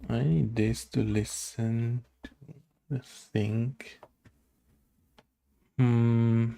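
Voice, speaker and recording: A man who develops games, thinking aloud casually as he codes, halting and muttering to himself. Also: mid-range voice, fairly even intonation, gravelly, mellow. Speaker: game developer.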